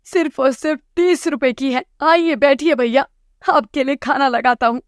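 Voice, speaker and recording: A woman's voice: sits high, fairly even intonation, normal speed, no room sound, clean studio sound, lightly acted. A woman voicing a poor, kind-hearted village husband in a cartoon moral story, humble, earnest and plaintive. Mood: grateful.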